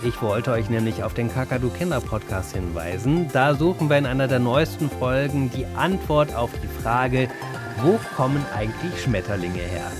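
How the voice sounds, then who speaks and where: Male children's podcast presenter, conversational promo read, mid-range voice over clear music bed. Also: medium pitch, moderate pace. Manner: chatty, addressing young listeners directly. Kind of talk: friendly promo announcement for children.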